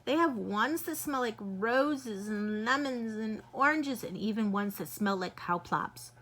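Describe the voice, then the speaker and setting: Woman putting on a whiny young girl, bright, slightly high, storybook reading. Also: expressive, measured pace. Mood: pleading. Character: young girl, pleading and cheeky.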